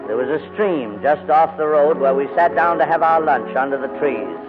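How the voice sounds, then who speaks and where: An actor playing a crusty but kindly older gentleman in a formal, hesitant manner, in 1940s radio drama style. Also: a little high, normal speed, lightly acted. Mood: somber narrating. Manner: fussy, grumbling, formal, hesitant. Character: crusty, reluctant but kindly old English gentleman guardian. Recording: muffled vintage 1940s radio recording, music.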